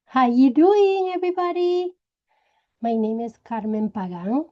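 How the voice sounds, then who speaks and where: A woman from Puerto Rico with a Puerto Rican Spanish accent, Spanish her first language, telling her personal story slowly and searching for words. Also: sits high, expressive, lo-fi sound. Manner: slow, searching for words, many restarts. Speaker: direct sales director. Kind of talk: personal story told to a group.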